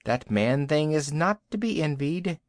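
A huge, haughty rock python from a storybook, acted out by a male narrator. He speaks with deliberate, old-fashioned phrasing and scornful pride, his pitch moving widely in a dry, close sound. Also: animated, no room sound.

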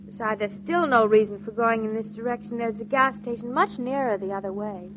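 A woman acting in a 1940s radio drama as an affectionate, talkative young newlywed wife, chatty, playful and gently insistent. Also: fairly high pitch, brisk, close to an everyday voice. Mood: teasing, suspicious. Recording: noisy, dull 1940s radio recording.

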